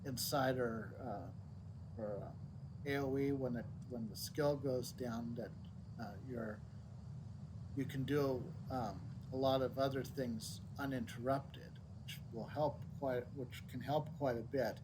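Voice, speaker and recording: A male game streamer chats casually in a mid-pitched voice. He is hesitant and thinks aloud, with clear noise and game ambience behind him. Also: steady tone, slow pace. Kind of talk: casual live gameplay commentary.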